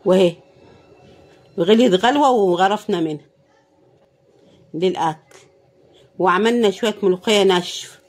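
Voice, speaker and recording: A woman with an Egyptian Arabic accent talks through her cooking in a hoarse, worn-out voice. She sounds tired and speaks casually, often murmuring thanks to God. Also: mid-range voice, normal speed, long pauses, a little mellow. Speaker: cooking vlogger.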